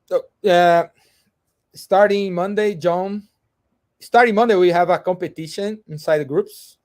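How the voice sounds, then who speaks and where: Slow-speaking man, halting English. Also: high voice. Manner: halting, repeats words, self-correcting. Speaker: co-host and English learner. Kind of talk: casual livestream chat.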